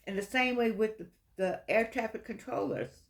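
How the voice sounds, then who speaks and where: An American woman, middle-aged or older, giving unscripted opinion talk to her viewers in an earnest, exhorting manner, often repeating words haltingly. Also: a little high. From United States. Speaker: vlogger addressing viewers.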